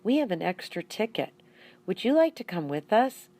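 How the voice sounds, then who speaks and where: A woman's voice: medium pitch, big pitch swings, normal speed, dark tone, voice pushed up. A woman voicing a friendly, eager young schoolgirl in a children's storybook.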